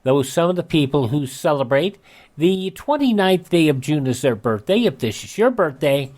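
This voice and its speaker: Radio host, American man, very lively intonation, scripted announcer read. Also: a little high, moderate pace.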